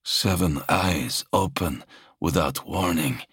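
An entranced warrior prophet from a dark audiobook, played by a man. He intones slowly in a deep, dry voice. The manner is solemn, ominous and portentous. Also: breathy edge, dry recording, heavily acted. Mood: trancelike, ominous.

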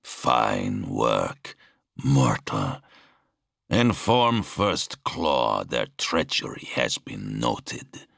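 A monstrous warlord from a dark science-fiction audiobook, acted by a man. The voice is very deep and crackles with fry. It drawls slowly and deliberately, imperious and coldly menacing. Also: very animated, dry recording, clean studio sound, exaggerated voice. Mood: coldly approving.

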